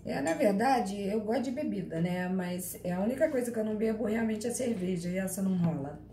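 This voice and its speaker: A woman from Rio de Janeiro with a Carioca accent, giving a law class online and chatting with her students in a lively, teasing way. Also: mid-range voice, fairly quick, slight background noise. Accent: Carioca Portuguese. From Rio de Janeiro, Brazil. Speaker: law lecturer. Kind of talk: live online class, reading chat questions. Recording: echoey room with faint ambience.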